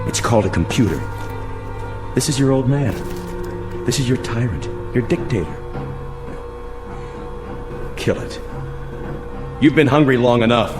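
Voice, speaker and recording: An actor playing a domineering military officer who commands and rants at a crowd in a radio drama. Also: male voice, mid-range voice, expressive, a little slow, pauses often, natural voice. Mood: ranting. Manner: commanding, ranting, shouting orders. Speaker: military leader rousing a crowd. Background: music score.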